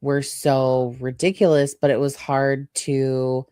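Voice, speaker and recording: A woman's voice: a bass voice, little pitch movement, slow, warm tone, clear, resonant tone, dry recording, clean studio sound. A woman in her late thirties chatting casually as a podcast co-host, rambling and acting out imagined quotes. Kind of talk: casual personal chat.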